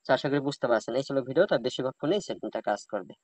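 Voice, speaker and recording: A man's voice: medium pitch, expressive, very fast, a little mellow, dry recording. A man giving an informal step-by-step phone tutorial as a host, addressing his viewers directly. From Bangladesh.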